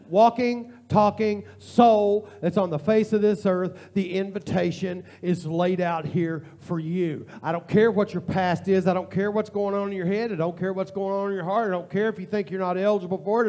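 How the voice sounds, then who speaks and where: Gravelly, high-pitched preaching man. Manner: impassioned preaching that rises to near-shouting, then drops to a quiet prayer; informal, repetitive cadence. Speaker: pastor. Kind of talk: sermon. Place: church sanctuary.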